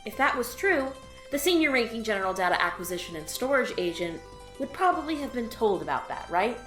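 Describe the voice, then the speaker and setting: A woman voicing a weary, sarcastic alien office worker in an audio drama, with a dry, deadpan delivery. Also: a little high, fairly quick. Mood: sardonic. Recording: roomy echo, faint music.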